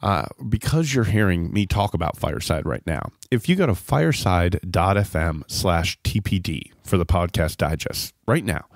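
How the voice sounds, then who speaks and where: A man with a very low voice hosts a podcast in a folksy, conversational style. The sound is dry and close, with very little room to it. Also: expressive, normal speed, few pauses, no echo. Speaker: podcast host. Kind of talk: ad read and interview chat.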